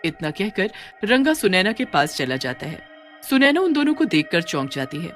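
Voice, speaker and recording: Casting: expressive female storyteller, mid-pitched and animated, reading scripted narration. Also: normal speed, soft background music.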